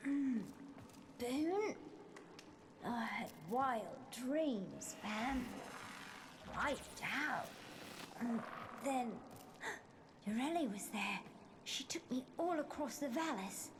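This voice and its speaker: High-voiced, groggy young street kid. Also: female voice, lively intonation, drawn-out words, long pauses, background noise, heavily acted. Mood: dazed, urgent. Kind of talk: video game voice acting.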